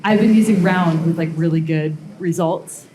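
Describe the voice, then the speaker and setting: A woman giving a casual live metalworking demonstration, explaining steps informally and haltingly as she thinks aloud. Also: on the low side, dark tone, slight background noise, rough recording. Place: live blacksmithing demonstration before onlookers.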